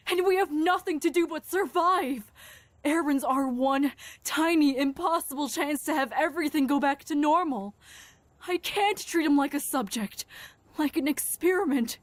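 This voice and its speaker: A woman's voice: high voice, no room sound. Brooding, protective survivor acted by a woman, dry sound. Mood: frustrated, protective. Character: protective, foul-mouthed, troubled adult survivor. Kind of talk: audio drama acting.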